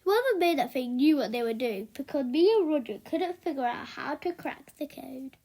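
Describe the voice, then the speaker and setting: A woman reading a children's book aloud as an expressive storyteller. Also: high voice, moderate pace.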